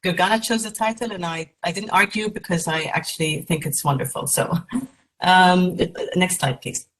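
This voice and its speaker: A woman from Egypt gives a keynote lecture over a video call. Her voice sits fairly low, and she speaks in a measured way, pausing on fillers and restarts. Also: steady tone, a bit bright, a little hiss or hum, rough recording. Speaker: keynote speaker, patient advocate.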